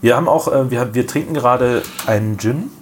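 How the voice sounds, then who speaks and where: A man's voice: medium pitch, fast, a little hiss or hum. A man co-hosting a podcast, a bit under the weather, bantering and teasing in casual chat. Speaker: podcast co-host.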